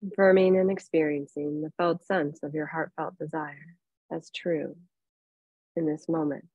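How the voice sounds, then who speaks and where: Low-pitched woman guiding meditation, close and dry. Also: no echo, dull audio. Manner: calm, unhurried, soothing, with long pauses. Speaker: yoga nidra meditation teacher. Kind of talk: guided relaxation meditation, instructing softly.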